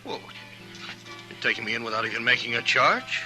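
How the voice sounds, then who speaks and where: A man's voice: mid-range voice, sing-song, fairly quick, natural voice. A man acting an arrogant, rich villain with an American accent in a 1950s radio western, sneering and blustering threats. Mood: mocking. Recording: 1950s radio recording, faint music.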